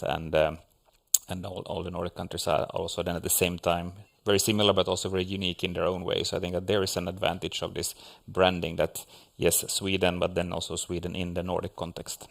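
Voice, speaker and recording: A man's voice: sits low, creaky. A man from Finland speaking as a panelist in a seminar discussion, hesitant with many restarts.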